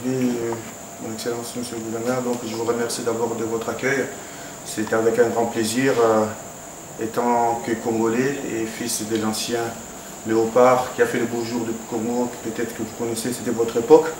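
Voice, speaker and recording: A man's voice: medium pitch, level tone, moderate pace. A man, a former football player, giving a formal, hesitant address to a governor, with many fillers and restarts. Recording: echoey room, background noise.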